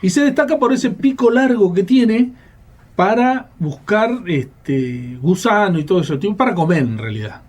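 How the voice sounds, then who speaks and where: A man's voice: high voice, expressive, moderate pace. An Argentine man hosting a radio show in a conversational, explanatory style. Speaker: radio host. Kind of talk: conversational educational radio talk.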